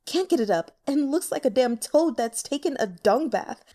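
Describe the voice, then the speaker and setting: A woman's voice: high voice, lively intonation, moderate pace, warm tone, voice pushed up. A woman voicing an aging, insincere courtesan with a coy front and a scornful streak, in an audiobook. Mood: bitter contempt. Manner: coy and girlish with clients.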